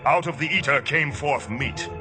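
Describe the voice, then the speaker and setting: Actor as a high-pitched, agitated warrior captain. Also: male voice, normal speed, close to an everyday voice. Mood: resentful. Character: proud, resentful warrior captain, jilted suitor. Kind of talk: 1950s radio drama acting. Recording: old radio broadcast with music.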